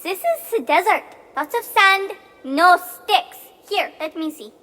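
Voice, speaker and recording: A woman plays an eager, literal-minded little helper in a comic radio play. The voice is extremely high and sharply bright. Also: animated, a little slow, full character voice. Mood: protesting, curious. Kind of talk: comic audio theatre acting.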